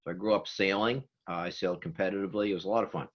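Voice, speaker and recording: A man's voice: deep voice, fairly even intonation, very fast, highs cut off. A man in his seventies from Massachusetts with a Boston accent, chatting as a guest and laughing easily. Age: 70s. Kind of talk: casual video call chat.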